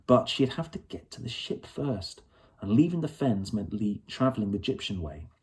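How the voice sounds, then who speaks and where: A man's voice: fairly low pitch, very animated, moderate pace, darkish tone. A man reading a storybook aloud as narrator. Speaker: audiobook reader.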